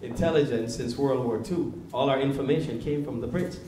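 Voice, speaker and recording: A man's voice: mid-range voice, brisk, dark tone. A man from Jamaica performing stand-up comedy, telling stories on a club stage, recorded with echo and noise from a distance. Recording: echoey, noisy club, distant mic.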